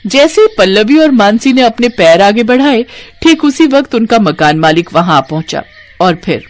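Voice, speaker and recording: A woman narrating a scripted story as an expressive storyteller. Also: fairly high pitch, moderate pace.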